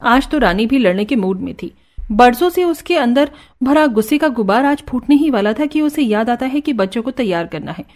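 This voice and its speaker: Woman narrator for a cartoon story, high pitched, calm storytelling. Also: moderate pace.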